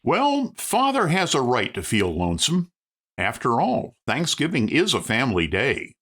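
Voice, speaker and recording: Highly animated American radio announcer, male. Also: a little slow. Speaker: announcer reading sponsor message.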